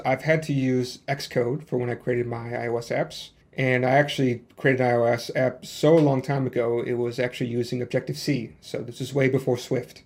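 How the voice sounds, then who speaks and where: A man's voice: medium pitch, darkish tone. A man in his thirties with a Chicago accent, hosting a casual solo podcast and rambling along with many fillers and restarts. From Chicago, USA. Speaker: podcast host.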